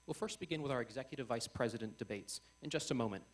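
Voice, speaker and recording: A man's voice: medium pitch, fast talker. Young man, event host, formal and emphatic stage introduction on a microphone. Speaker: host of a student election debate. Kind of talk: formal speech introducing a debate. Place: on stage before a live audience.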